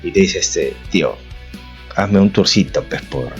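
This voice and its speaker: A man's voice: low voice, lively intonation, a little slow, lo-fi sound, faint music behind. A man co-hosting a casual podcast chat, slangy and blunt, who argues his point with everyday examples. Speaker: podcast co-host.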